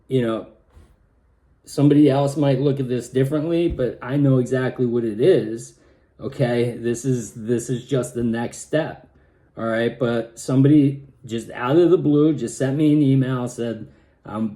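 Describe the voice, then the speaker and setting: A man talks at an unhurried, slow pace in a casual personal vlog, and his voice sounds slightly raspy and hoarse. His tone is mellow and his delivery is halting, with frequent restarts. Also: mid-range voice. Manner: halting, many restarts and fillers. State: hoarse from pollen. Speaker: vlogger.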